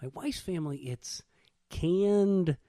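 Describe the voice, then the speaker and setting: A man's voice: sits high, takes it slowly, dark tone, no echo, studio quality. An American man in casual podcast banter, talking in an emphatic, slangy way. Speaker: podcast co-host.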